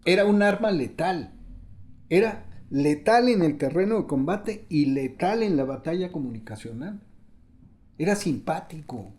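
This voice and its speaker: A man's voice: on the high side, lively intonation, measured pace, darkish tone. A man giving interview commentary in short, emphatic statements.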